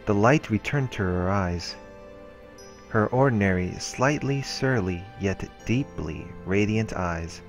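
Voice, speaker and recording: Man host, very low voice, reads game text with offhand comments over faint music. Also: slow. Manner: steady reading with casual asides. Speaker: let's play host. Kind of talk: reading game narration aloud.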